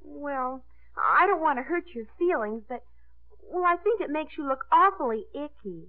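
An actress playing a haughty, dramatic teenage daughter with affected, prim airs in a 1950s radio drama. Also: female voice, sits high, expressive, normal speed. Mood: prim, critical. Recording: noisy, narrow 1950s radio broadcast.

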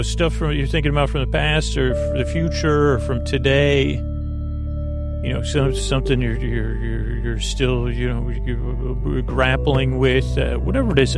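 Creaky, low-key American man rambling soothingly. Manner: meandering, halting, stumbling over words and drifting off on tangents; soothing, lulling. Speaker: podcast host. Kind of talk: rambling bedtime-story podcast monologue.

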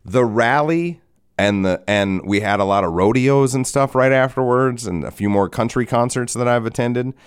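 A man in his forties hosting a solo podcast, hoarse from a head cold, rambling and cursing with lots of restarts. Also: mid-range voice, normal speed. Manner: rambling, profane, self-mocking, frequent restarts. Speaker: podcast host. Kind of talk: solo casual podcast talk.

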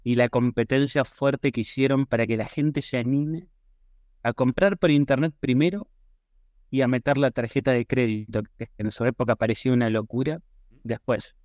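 A man with an Argentine Spanish accent answers as a podcast guest in a conversational, measured, explanatory way. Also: medium pitch, steady tone, a little mellow, no echo. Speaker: podcast guest, e-commerce professional.

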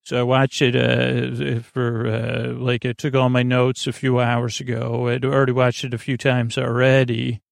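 A man hosting a bedtime podcast, rambling in a halting, meandering way and often trailing off mid-thought. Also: mid-range voice, monotone, dry recording, clean studio sound. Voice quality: creaky, gravelly. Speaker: podcast host.

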